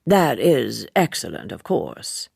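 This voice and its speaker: A woman voicing a worldly, detached man in a storybook, speaking slowly and formally with cool evasiveness in a husky, creaky voice. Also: rumbling low, very animated, darkish tone, no room sound, clean studio sound, pitched below the performer's own voice, exaggerated voice.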